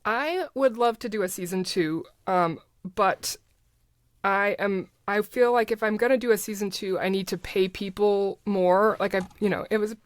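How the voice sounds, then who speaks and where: A middle-aged woman speaking as a podcast guest in a casual, halting, informal and rambling way. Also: mid-range voice, clear, resonant tone, no echo. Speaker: podcast guest, audio drama creator. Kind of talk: casual interview chat.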